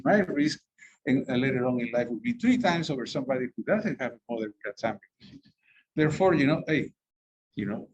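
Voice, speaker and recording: Physician from south america, husky, talks in loose restarts, muffled recording. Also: male voice, mid-range voice. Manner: rambling, frequent fillers and restarts. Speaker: physician lecturer. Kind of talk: medical lecture to clinicians. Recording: dull lo-fi recording.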